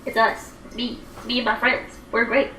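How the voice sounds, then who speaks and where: A woman's voice: on the high side, little pitch movement, moderate pace, pushed and bright, echoey room, far from the mic, a little hiss or hum, rough recording. A woman in her twenties chatting casually on a livestream, rambling with lots of fillers as she answers viewer comments. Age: 20s.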